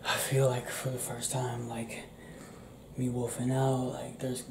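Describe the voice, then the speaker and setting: Young guy doing asmr werewolf boyfriend thing, slow n hushed, halting, echoey room w/ noticeable noise. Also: mid-range voice, completely flat delivery. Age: young adult. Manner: hushed, often whispered, halting, intimate. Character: young male werewolf confiding in his partner, restless, tender. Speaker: ASMR roleplay performer. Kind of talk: ASMR roleplay, improvised intimate acting. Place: small indoor room. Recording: roomy echo, clear background noise.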